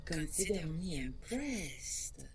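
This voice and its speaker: A woman playing the vain, vengeful ghost of a deposed monster queen in an audio roleplay, taunting theatrically in a breathy, creaky whisper. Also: sits low, big pitch swings, soft background music, exaggerated voice. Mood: mocking, menacing. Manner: taunting, theatrical, drawn-out delivery. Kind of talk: audio roleplay monologue acting. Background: ambient sound effects, wind.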